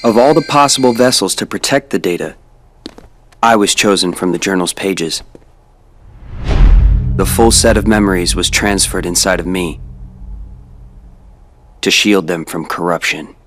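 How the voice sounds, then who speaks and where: A young man playing a calm, cryptic figure made of data, explaining things evenly in a game cutscene. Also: deep voice. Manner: calm, measured.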